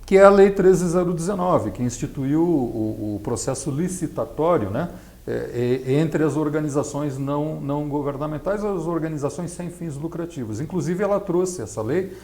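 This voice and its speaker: A middle-aged man explaining his field as an interview guest, didactic and halting, often adding tag questions. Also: on the high side, expressive, darkish tone. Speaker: interview guest, accountant and nonprofit consultant.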